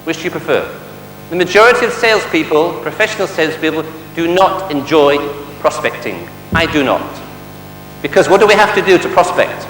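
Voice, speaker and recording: A man's voice: a little high, animated, brisk, a bit bright. A man from Manchester with a Mancunian accent, a sales trainer giving a motivational seminar talk, quizzing the audience with rhetorical questions and jokes. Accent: Mancunian English. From Manchester, England. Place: large hall with a live audience. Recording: echoey hall with long reverb and faint hum.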